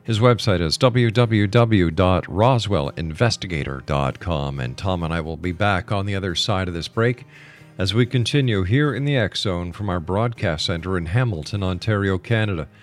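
A man's voice: low-pitched, normal speed, faint music behind. A man hosting a radio show, interviewing and making announcements to listeners.

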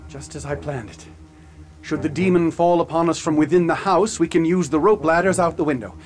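An actor playing an eccentric ghost hunter in a radio drama, who speaks in formal, old-fashioned sentences. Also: male voice, on the high side, brisk, lightly acted. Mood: commanding. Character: grave, eccentric male ghost hunter telling a dark tale. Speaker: witness recounting a haunting. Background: music.

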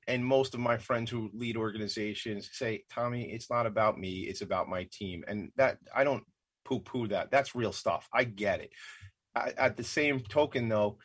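Husky-voiced rambling male radio host. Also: lowish voice, a bit bright. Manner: quick, rambling, repeats words, self-interrupting. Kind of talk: casual interview chat.

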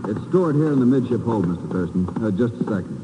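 An actor playing a brisk, skeptical ship's first mate in a businesslike 1950s radio drama style. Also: male voice, on the low side, lively intonation, mellow, close to an everyday voice. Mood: businesslike, puzzled. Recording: reverberant, noisy old radio recording.

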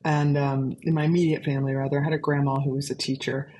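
A woman from Arkansas with a low speaking voice, telling stories as an interview guest, chatty and laughing often. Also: flat delivery, fast, a little mellow, a little hiss or hum. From Arkansas, USA. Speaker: guest composer. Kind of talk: casual conversational storytelling.

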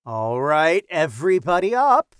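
A man playing a cheerful, teasing camp counselor in an audio drama, with playfully theatrical, drawn-out emphasis. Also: on the high side, sing-song, fairly bright tone, slight background noise. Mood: cheerful, coaxing.

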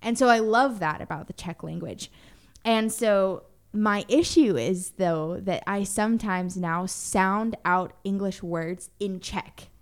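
A woman's voice: mid-range voice, slow. A young woman chatting casually as a podcast co-host, with lots of filler words. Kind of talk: casual chat.

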